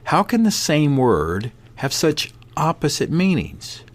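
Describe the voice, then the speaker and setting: A man hosting a podcast, reading a passage aloud and adding his own commentary in a measured way. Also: on the high side, huge pitch swings. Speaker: podcast host.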